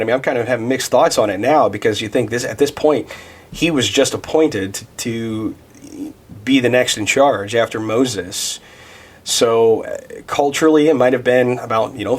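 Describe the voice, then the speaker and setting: A man in his thirties with a fairly low voice teaches from scripture in a relaxed, conversational way. He thinks aloud as he goes, often restarting his sentences and filling pauses. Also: breathy edge. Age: 30s. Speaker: Bible teacher. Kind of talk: casual devotional Bible teaching.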